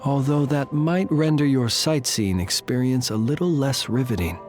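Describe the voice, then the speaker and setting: An actor playing a formal, measured male guardian of a cemetery in a video game. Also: mid-range voice, darkish tone, faint music behind, close to an everyday voice. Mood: calm. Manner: formal, measured, old-fashioned phrasing. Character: courtly male graveyard guardian, calm and formal. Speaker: guardian of the tombs.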